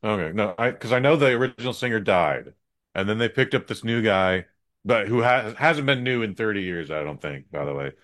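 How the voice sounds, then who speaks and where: Relaxed low-voiced man chatting. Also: brisk. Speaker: podcast co-host. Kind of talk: casual chat.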